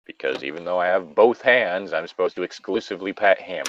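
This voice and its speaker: A man's voice: deep voice, animated, fairly quick, dull audio, a little hiss or hum. A man reading a story aloud and breaking off into casual, joking, rambling, profane commentary. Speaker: reader and commentator of online stories.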